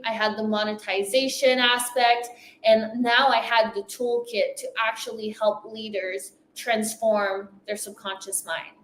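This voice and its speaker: French-accented woman giving a motivational talk, highish voice, echoey room. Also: a bit bright. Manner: emphatic, repeating phrases for effect. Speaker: summit speaker, coach. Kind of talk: motivational speech.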